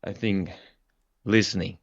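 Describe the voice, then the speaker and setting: A man's voice: low-pitched, fairly even intonation, measured pace, husky, dry recording, dull audio. A Russian man with a Russian accent, talking through his views as an interview guest, explaining with examples. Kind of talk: casual conversation.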